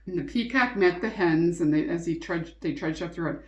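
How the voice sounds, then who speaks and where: A woman teacher reading a storybook aloud to young children, expressively, pausing to ask questions and explain things simply. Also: on the low side, highs cut off. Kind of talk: interactive storybook read-aloud and lesson. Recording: echoey, roomy sound.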